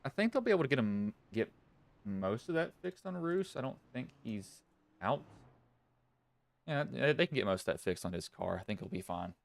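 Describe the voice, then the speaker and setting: A man's voice: medium pitch, big pitch swings, normal speed, creaky. A man with a Southwest Virginian accent doing casual play-by-play commentary on an online sim race, rambling and correcting himself as he goes. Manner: rambling, self-correcting, talks to himself between calls.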